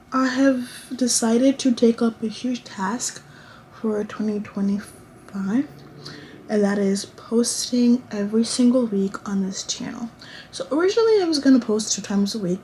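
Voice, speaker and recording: Rambling teen woman with a mellow voice. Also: mid-range voice, slight background noise, poor audio. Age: late teens. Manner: rambling, chatty, many restarts and fillers. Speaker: vlogger. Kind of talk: casual chat to camera. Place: college dorm room.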